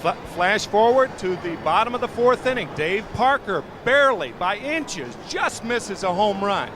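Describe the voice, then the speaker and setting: High-pitched male baseball commentator, noisy broadcast. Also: big pitch swings, a little slow. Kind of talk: live sports play-by-play commentary.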